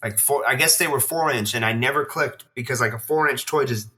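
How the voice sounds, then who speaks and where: Guy w bright punchy voice, slangy rambly podcast guest, casual chat. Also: mid-range voice, fairly quick. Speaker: podcast guest, toy collector.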